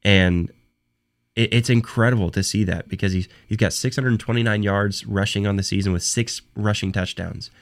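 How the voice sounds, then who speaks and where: A young man hosting a solo sports talk podcast, hoarse from a cold and losing his voice, talking in halting bursts with frequent restarts and repeated words. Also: lowish voice, steady tone. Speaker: podcast host.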